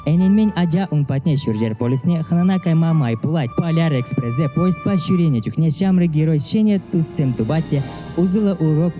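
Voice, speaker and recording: A man whose native language is Chuvash, presenting a scripted film review as a narrator. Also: fairly high pitch. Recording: music under the voice. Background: music.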